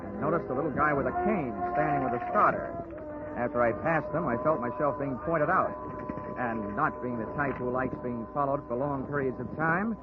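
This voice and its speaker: A tinny vintage radio recording with music around the voice of a hard-boiled American investigator played by a male actor. His mid-pitched voice is dry and sardonic, tossing off clipped wisecracks in 1940s detective-serial style. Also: normal speed, close to an everyday voice. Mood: wry. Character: wry, wisecracking hard-boiled insurance investigator, grown man. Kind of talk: 1940s radio acting, first-person detective narration.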